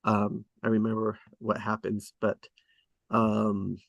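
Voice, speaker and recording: A man's voice: lowish voice, completely flat delivery, slow pace, vocal fry, dry recording, highs cut off. A man hosting a table read, chatting casually and hesitantly about scriptwriting as he thinks aloud. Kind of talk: casual rambling discussion of screenwriting.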